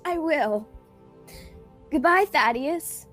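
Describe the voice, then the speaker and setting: An incredulous young girl adventurer, acted by a young woman in a radio play. The high voice swoops around at an unhurried pace, casual and exasperated, over faint music. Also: lively intonation, fairly bright tone, exaggerated voice. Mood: bewildered. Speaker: student radio theatre actor. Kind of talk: radio theatre acting.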